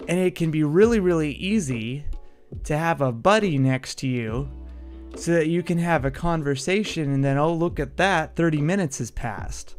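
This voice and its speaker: A man hosting a solo podcast, talking in a casual monologue that is punchy and combative, with free swearing. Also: fairly high pitch.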